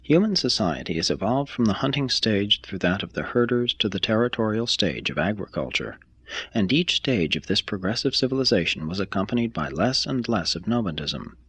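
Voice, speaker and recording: A man reading a scripted text aloud as an audiobook narrator, with a measured, formal delivery. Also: lowish voice, very animated, a bit bright, breathy edge, dry recording. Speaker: audiobook reader.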